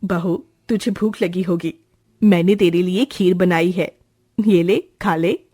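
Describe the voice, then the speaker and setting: Kindly older mother-in-law, welcoming, a bit lower, played by a woman; mellow, husky tone. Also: a little slow. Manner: warm, welcoming.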